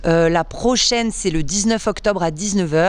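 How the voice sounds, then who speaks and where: A woman's voice: lowish voice, normal speed, dry recording. Woman, comedian guest, casual chat, filler words. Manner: chatty, full of fillers, laughs.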